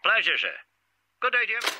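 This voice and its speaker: An actor playing an obliging police sergeant heard through a telephone effect in a children's audio drama. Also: male voice, high-pitched, big pitch swings, normal speed, sharp tone, no room sound, heavily acted. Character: obliging, deferential male police sergeant on the phone.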